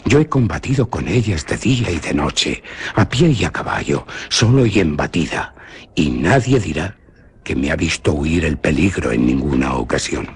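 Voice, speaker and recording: Spanish young noble hunter in a radio play, deep voice swinging all over, proud but scared. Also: male voice, lively intonation, moderate pace, breathy edge, heavily acted. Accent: Spain. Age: young adult. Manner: dramatic, swings from boastful to fearful. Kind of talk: radio drama acting.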